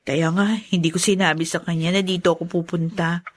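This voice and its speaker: A woman's voice: lowish voice, little pitch movement, a little fast, close to an everyday voice. An actress playing a polite but determined grieving young woman in a radio drama. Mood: confiding. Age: young adult.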